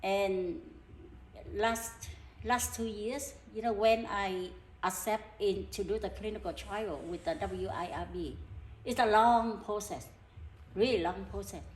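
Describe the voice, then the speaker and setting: Earnest Vietnamese-accented woman healer. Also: mid-range voice. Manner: broken, halting English, earnest, laughs often. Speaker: energy healer. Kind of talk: casual interview talk. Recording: echoey room with background noise.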